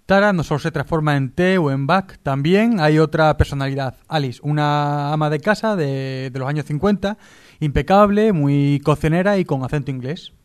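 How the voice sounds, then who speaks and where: A man with a Spain accent presents on radio in a casual, conversational way. His voice sits a little high as he reviews a television series. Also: moderate pace, smooth.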